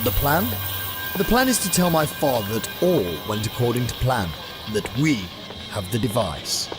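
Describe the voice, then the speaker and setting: A man's voice: medium pitch, lively intonation, moderate pace, music in the background, natural voice. An actor playing a curt, commanding male villain in an audio drama. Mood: scheming. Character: curt, scheming villain, son of a company boss.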